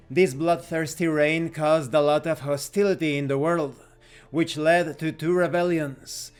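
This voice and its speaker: Higher-voiced man reading aloud. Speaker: reviewer reading from a game rulebook. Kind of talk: scripted narration, reading aloud.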